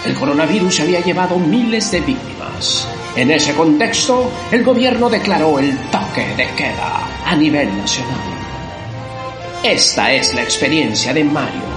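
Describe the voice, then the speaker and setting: A man announcer giving a dramatic voiceover for a radio play. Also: on the high side. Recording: roomy voice over music bed.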